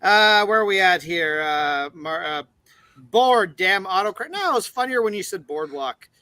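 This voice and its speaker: A man's voice: high voice, expressive, normal speed, pushed and bright, no room sound. A man co-hosting a casual beer show, reading viewer comments aloud with joking put-on accents. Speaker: podcast co-host reading chat comments. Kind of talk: casual banter.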